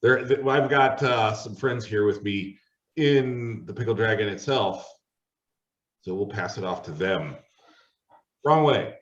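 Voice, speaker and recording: A man's voice: on the low side, measured pace, long pauses. Distant, roomy middle-aged man hosting. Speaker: host. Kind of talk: casual panel discussion chat. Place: indoor room shared with friends. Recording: roomy, distant, dull sound.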